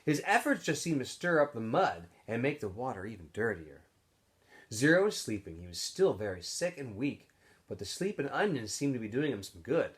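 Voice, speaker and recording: Man reading aloud with very lively pitch. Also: moderate pace. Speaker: teacher reading aloud to students. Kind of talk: expressive storybook read-aloud narration.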